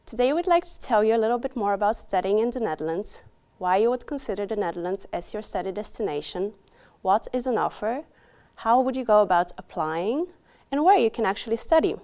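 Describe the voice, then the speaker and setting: A woman's voice: mid-range voice, lively intonation, brisk, dark tone. A woman reading a polite, welcoming scripted introduction for a group of universities.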